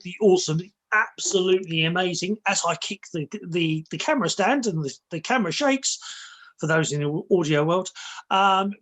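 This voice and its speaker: A podcast host with a slow, drawn-out mystical way of phrasing, opening the show and greeting a guest. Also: mid-range voice. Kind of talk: scripted show intro, then casual chat.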